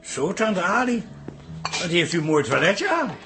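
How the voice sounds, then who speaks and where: An actor playing a weary, teasing married pub landlord in a colloquial, wry way in a comic radio drama. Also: male voice, high voice, big pitch swings, unhurried, over music, full character voice.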